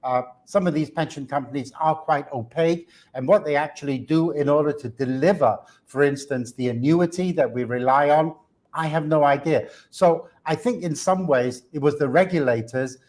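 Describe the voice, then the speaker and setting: A man's voice: a little high, moderate pace, darkish tone. A man, a guest commentator on markets, speaking earnestly in a live business interview with frequent fillers and restarts. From Hong Kong.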